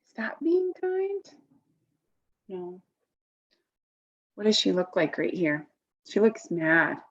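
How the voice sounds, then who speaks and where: A woman reading a picture book aloud to children, expressively, pausing to comment and ask simple questions. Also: medium pitch, lo-fi sound. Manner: expressive, asks simple questions of young listeners. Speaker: storybook reader.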